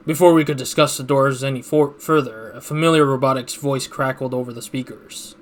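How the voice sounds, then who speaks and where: A man gives casual game commentary in a mid-pitched voice. He rambles and thinks aloud as he plays, with faint noise in the background. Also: steady tone, brisk. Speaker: let's play host.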